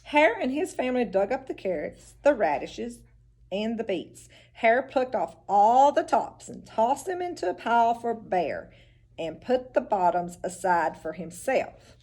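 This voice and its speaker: A woman reading a storybook aloud as a teacher to children, expressive and instructive, with chatty asides. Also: a little high, a little slow.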